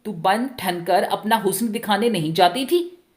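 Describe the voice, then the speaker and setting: A woman's voice: mid-range voice, unhurried, close to an everyday voice. A woman voicing a jealous, suspicious young village husband in a storybook reading, questioning in an agitated, accusing manner. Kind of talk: dramatic Hindi short story reading.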